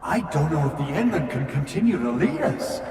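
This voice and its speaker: An actor playing a skeptical villager who has lost faith, in dramatic video game dialogue. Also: male voice, a little high, very animated, a little fast, gravelly. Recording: echoey, distant flashback reverb.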